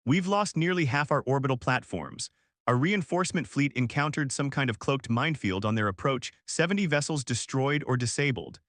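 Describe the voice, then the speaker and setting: A man's voice: medium pitch, animated, normal speed, a bit bright, no room sound, studio quality, natural voice. A man playing a stressed, scaly female alien fleet coordinator who gives anxious military reports in a story. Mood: alarmed.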